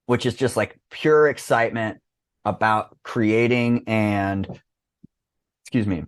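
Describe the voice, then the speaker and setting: A man in his thirties chatting casually and excitedly as a podcast guest, rambling with lots of slang and swearing. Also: lowish voice, slow, clean tone, dry recording. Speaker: podcast guest, disc golf business owner.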